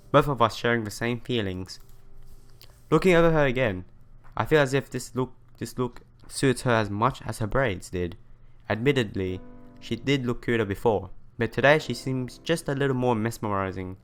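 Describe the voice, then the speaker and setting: A man narrates a visual novel in a smooth, clear voice. He reads the prose aloud and drops in casual asides as a let's player. Faint music plays behind him. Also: medium pitch, moderate pace. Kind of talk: narration reading with casual commentary. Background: game music.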